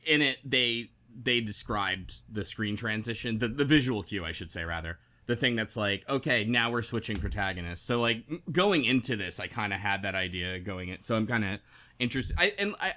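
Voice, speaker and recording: A man's voice: mid-range voice, bright, forceful tone. A man hosting a casual book club podcast, talking in a rambling, self-correcting way. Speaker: podcast host.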